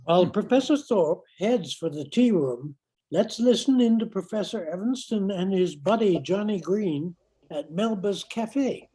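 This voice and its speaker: Husky, mellow woman narrator. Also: mid-range voice, lively intonation, unhurried, low-quality recording. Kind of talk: scripted radio play narration.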